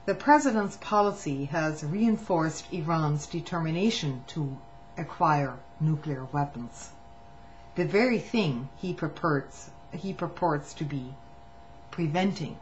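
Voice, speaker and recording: A woman reading a written testimony aloud in a measured way, now and then stumbling and restarting a phrase. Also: low-pitched. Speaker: reader of a public document. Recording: muffled lo-fi recording with faint hum.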